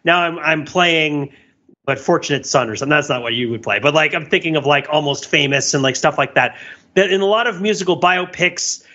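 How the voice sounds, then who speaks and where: A man talking through film and music on a discussion podcast, analytical and rambling, with animated asides. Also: a little high, muffled recording. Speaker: podcast panelist.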